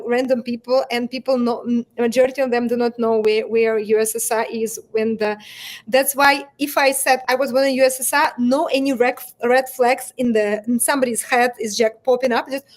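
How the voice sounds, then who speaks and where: A woman's voice: fairly high pitch, steady tone, moderate pace. A young woman with a Russian accent, speaking Russian as her first language, talks in a candid interview as a founder, often restarting her sentences and laughing between phrases. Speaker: guest, bodysuit brand founder.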